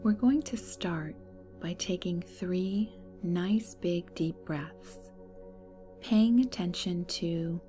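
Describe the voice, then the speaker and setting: A woman leading a guided meditation in a soft, breathy, soothing and unhurried way. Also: fairly low pitch. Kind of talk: slow guided meditation narration. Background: meditation music.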